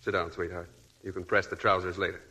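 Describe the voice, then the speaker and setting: Smooth-talking troubled husband, low, husky, quick, 1940s radio play. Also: male voice, level tone, pushed and bright, heavily acted. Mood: glib. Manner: glib, evasive. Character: charming, troubled young husband hiding secrets. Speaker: radio drama lead actor.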